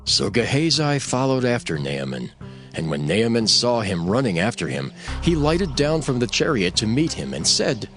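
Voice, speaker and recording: A man's voice: medium pitch, very animated, moderate pace, a bit bright, faint music behind. A man narrating scripture in a dramatized, scripted storytelling style.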